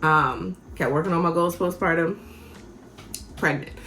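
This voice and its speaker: A woman's voice: on the low side, unhurried, a bit bright, a little hiss or hum. A woman in her forties, a vlogger and health coach, talking candidly and sounding tired, laughing often and restarting her sentences. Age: 40s. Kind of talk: candid personal vlog.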